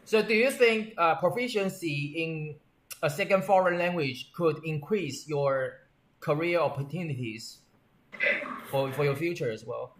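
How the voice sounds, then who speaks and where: Higher-voiced man asking halting questions. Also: slight background noise. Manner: halting, restarts and rephrases questions. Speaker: interviewer. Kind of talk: structured interview questions.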